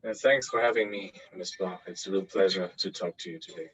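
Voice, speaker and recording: A man's voice: low voice, fairly quick, fairly bright tone, slight background noise, poor audio. A man from Austria in his thirties, a journalist answering interview questions thoughtfully, with many fillers and restarts, over a video call. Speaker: guest journalist.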